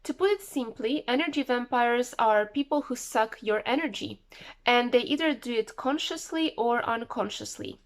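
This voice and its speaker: A woman's voice: fairly high pitch, moderate pace. Woman vlogging with the flu. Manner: rambling, repeats words for emphasis. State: sick with flu, voice a bit off. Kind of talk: casual talk to camera.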